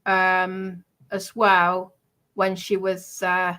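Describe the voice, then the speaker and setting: A woman casually explaining herself in a defensive, halting way. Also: on the low side, completely flat delivery, sharp tone.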